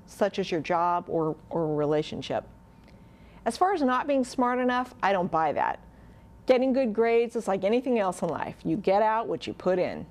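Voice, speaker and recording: A woman's voice: a little high, darkish tone. A woman working as a college adviser delivers a scripted motivational talk addressed straight to the listener.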